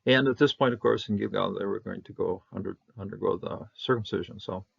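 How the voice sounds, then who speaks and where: Male Hebrew teacher, low gravelly voice, halting delivery, lo-fi sound. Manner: halting, many fillers, repeats, bursts on Hebrew words. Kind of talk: unscripted verse-by-verse Hebrew lesson.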